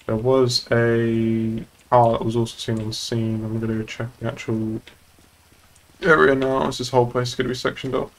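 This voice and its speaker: A man's voice: medium pitch, flat delivery, moderate pace, rough recording. A young man improvising police roleplay while streaming a game. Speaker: streamer playing a police officer. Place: indoor home streaming setup. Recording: faint noise behind the voice.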